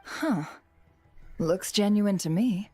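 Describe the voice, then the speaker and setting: A woman's voice: mid-range voice, slow, a little mellow, husky, no room sound, soft background music. A woman voicing a cool, businesslike woman of authority in a video game, matter-of-fact and composed.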